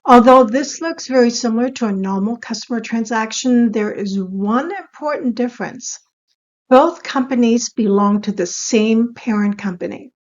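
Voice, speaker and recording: Woman, fairly high voice, scripted instructional narration, measured delivery, slightly muffled recording. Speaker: accounting instructor.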